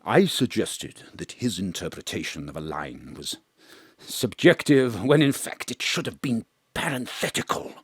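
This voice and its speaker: A man playing a pompous, booming old ham actor. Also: very animated, a little slow, slightly rough, no room sound, clean studio sound, heavily acted. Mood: melodramatic. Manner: bombastic, theatrical, swings from booming to hushed. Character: pompous grand old male stage actor, florid and melodramatic. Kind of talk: live remote audio drama acting. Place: home studio.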